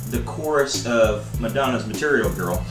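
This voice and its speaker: A man's voice: mid-range voice, expressive, moderate pace. A man in his thirties hosting a casual home cooking show, joking with viewers and calling them baby and buddy, in a home kitchen. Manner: playful, jokey, calls viewers baby and buddy. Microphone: camera mic from a few feet away. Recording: roomy kitchen with music behind.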